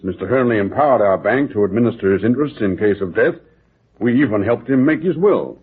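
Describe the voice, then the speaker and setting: An actor playing a polite, faintly uneasy banker in an old-time radio drama, formal and a little hesitant. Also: male voice, on the low side, lively intonation, a little fast, slightly rough. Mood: grave, puzzled. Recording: tinny old radio recording.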